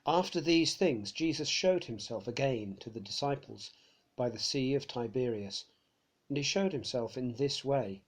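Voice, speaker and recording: Man, scripture reader, measured pace, mid-pitched voice. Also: lively intonation. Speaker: Bible reader. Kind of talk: church scripture reading.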